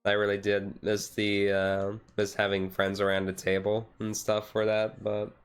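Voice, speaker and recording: A man chatting casually on a relaxed livestream in a rambling, easygoing way. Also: lowish voice, unhurried, clean tone. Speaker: streamer, tabletop game master. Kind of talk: casual chat on a relaxed ASMR-style livestream.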